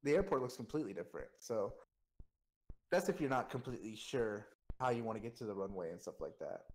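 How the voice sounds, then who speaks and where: A man's voice: on the low side, animated, fast talker, darkish tone, low-quality recording. A man casually explaining things like an informal coach, walking through examples. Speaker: air traffic controller coaching a flight sim player.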